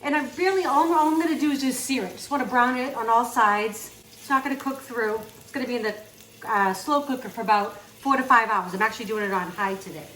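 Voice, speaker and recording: A woman's voice: on the high side, brisk, fairly bright tone, slight background noise. Chatty Northeastern woman in an echoey kitchen. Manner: chatty, upbeat, talks while working. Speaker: cooking channel host. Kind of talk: casual cooking demonstration. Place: home kitchen.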